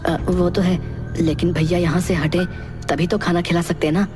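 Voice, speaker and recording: A woman voicing a hesitant but insistent young village boy in an animated story. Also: on the low side, moderate pace, over music, close to an everyday voice. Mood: helpful. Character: scheming young village boy, helpful little brother. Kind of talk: Hindi animated fairy tale acting.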